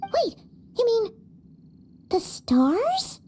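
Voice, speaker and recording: A woman voicing a tiny, excitable, cheeky childlike female fairy in a video game, squeaky, chirpy and dramatic. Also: drawn-out words, a little hiss or hum, full character voice. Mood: puzzled, worried. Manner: chirpy, dramatic, speaks of herself in third person.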